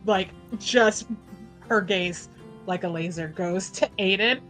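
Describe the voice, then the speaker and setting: A woman's voice: medium pitch, slow, a bit bright, faint music behind. A woman playing a tabletop game, casual and informal, telling her character's moves with playful drama. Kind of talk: casual improvised game chat and storytelling.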